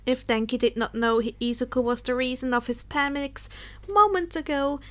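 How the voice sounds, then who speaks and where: A woman narrating a story in a dramatic, expressive storybook style, with some phrases breathy and hushed. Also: high voice, moderate pace. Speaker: fan fiction narrator.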